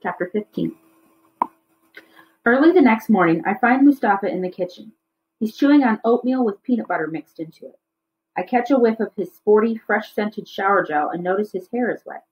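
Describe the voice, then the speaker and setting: A woman's voice: medium pitch, expressive, normal speed, highs cut off. A woman reading a children's novel aloud as a teacher would, in storybook narration.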